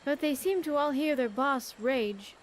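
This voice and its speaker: A woman's voice: high-pitched, a little slow, slight background noise. A woman playing an earnest, grateful young survivor companion in a video game, speaking in careful, formal phrases. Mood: urgent, pleading. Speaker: companion character in a game mod. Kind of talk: scripted game dialogue.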